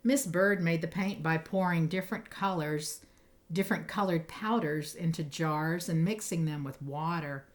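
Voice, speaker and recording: A woman reading a children's picture book aloud, with expressive phrasing and emphasized words. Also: sits low, normal speed. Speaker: storybook reader.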